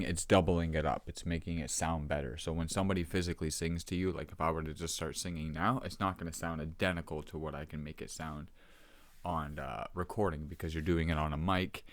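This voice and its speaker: A man vlogging to his camera at home, with a sore, rough throat, talking casually and rambling with many ums and restarts. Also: low-pitched, steady tone, a little fast, no echo.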